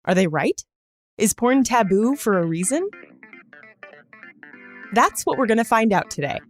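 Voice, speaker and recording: A woman's voice: a little high, lively intonation, unhurried, faint music behind. A woman hosting a podcast, reading scripted narration in a conversational storytelling style with wry, joking asides. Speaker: podcast host.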